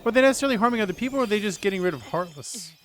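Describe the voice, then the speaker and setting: Streamer: man, lightly high-pitched, jokey casual commentary over soft game music. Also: expressive, rapid-fire. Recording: game music faint behind voice.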